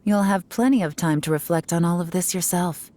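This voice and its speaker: A woman's voice: on the low side, brisk, dark tone, slight background noise, lightly acted. A woman voicing a stern, self-assured female investigator in a video game, commanding, composed and wry. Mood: firm, wry. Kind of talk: dramatic video game voice acting.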